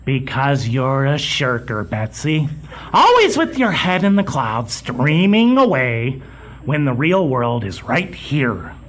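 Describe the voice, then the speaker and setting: An actor playing a fussy, condescending middle-aged museum boss in a comedic audio drama, with a pompous, stammering delivery. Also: male voice, mid-range voice, sing-song, takes it slowly, fairly bright tone, full character voice. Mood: scornful. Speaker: museum supervisor.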